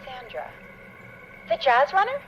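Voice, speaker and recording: Dull, slightly noisy sound frames an inquisitive female radio producer in a game. Her high voice reacts quickly, full of questions and disbelief. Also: huge pitch swings, heavily acted. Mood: incredulous, puzzled. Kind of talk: video game dialogue.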